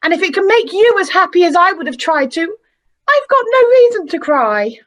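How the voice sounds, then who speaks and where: A woman playing a heartbroken young fiancée, earnest and gently reproachful, in an amateur radio play. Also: extremely high pitch, big pitch swings, moderate pace, full character voice. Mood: sorrowful reproach. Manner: earnest, sorrowful.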